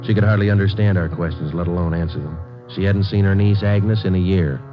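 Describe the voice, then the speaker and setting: A deadpan American police detective, played by a man from classic radio crime drama. He is low-voiced and clipped, rattling off facts quickly without fuss. The sound is a dull old broadcast with music behind. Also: close to an everyday voice. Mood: flat, factual. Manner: deadpan, terse, matter-of-fact. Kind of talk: 1940s-50s radio drama narration, clipped and factual. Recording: dull vintage radio recording.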